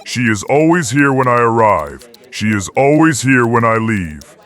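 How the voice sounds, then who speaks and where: An actor as a jittery young man working in a call center, from an audio drama. His voice is sharp and swings widely in pitch. He talks in urgent, insistent bursts, suspicious of everything. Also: big pitch swings, unhurried. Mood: suspicious, hushed. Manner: urgent, short insistent phrases. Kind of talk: scripted audio drama acting.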